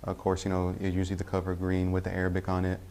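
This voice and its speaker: A young man from Tennessee, telling his personal story in a halting, unhurried way with many restarts. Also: deep voice, completely flat delivery, a little mellow, a little hiss or hum. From Tennessee, USA. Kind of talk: personal testimony talk.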